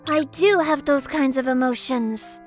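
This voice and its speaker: Casting: high-pitched, reserved young fiancée for a romance manga dub, played by a woman, halting and sincere, music faintly behind. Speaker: voice actress.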